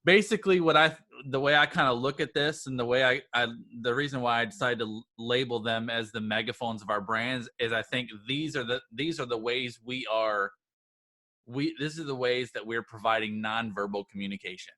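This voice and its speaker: A man with a bright, forceful voice gives a motivational livestream talk as a business coach. He speaks informally and straight to his listeners, repeating phrases to drive points home. The sound is dry and close. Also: mid-range voice, a little fast, no echo. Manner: emphatic, repeats phrases for emphasis; informal, addresses listeners directly.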